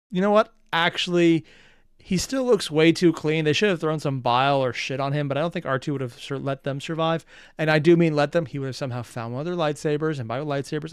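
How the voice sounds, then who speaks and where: Higher-voiced man giving casual, clear reaction commentary. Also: dry recording, clean studio sound. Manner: casual swearing, speculative asides. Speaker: reaction channel host.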